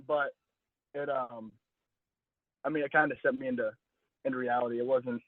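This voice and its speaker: A man's voice: medium pitch, steady tone, normal speed, dry recording. A young man, a college athlete being interviewed, speaking casually over a phone call and often restating his phrases as he goes. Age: young adult. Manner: hedging, self-correcting, restating phrases. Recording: dull, lo-fi phone call audio.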